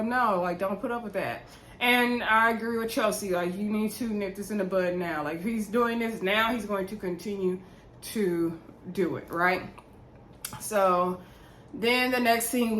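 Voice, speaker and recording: A woman's voice: medium pitch, a little hiss or hum. A woman giving a casual, chatty recap of a TV episode as a vlog host, conversational and opinionated, talking straight to her viewers. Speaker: YouTube reality show recap host. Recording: roomy, echoey indoor sound.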